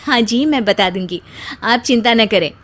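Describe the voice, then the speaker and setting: A woman's voice: fairly high pitch, normal speed, close to an everyday voice. A woman voicing an envious, demanding young wife in a family story, insistent and exclamatory. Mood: friendly. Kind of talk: dramatised Hindi family storytelling.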